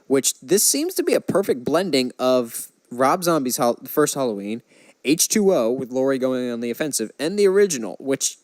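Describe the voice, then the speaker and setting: Male podcast co-host, casual and opinionated fan talk, medium pitch, dry recording. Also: very animated, normal speed, darkish tone, dry recording, poor audio. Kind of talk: casual movie chat.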